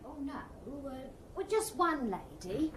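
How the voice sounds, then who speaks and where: Radio drama role: little concierge woman, high, swooping voice, eager, hesitant, deferential. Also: expressive, measured pace. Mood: fluttery, eager.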